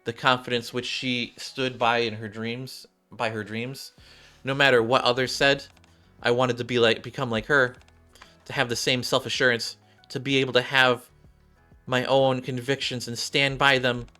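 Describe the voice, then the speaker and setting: A man voicing a shy, earnest young android gamer girl from a game story, halting and heartfelt. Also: medium pitch, flat delivery, pushed and bright, faint music behind, voice pushed up, lightly acted. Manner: halting, heartfelt, repeats and restarts.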